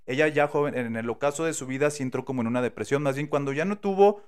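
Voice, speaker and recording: A man with a Mexican accent, casually telling a true crime story on a podcast, slangy and animated, acting out the quotes. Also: medium pitch, fast, smooth. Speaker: podcast host.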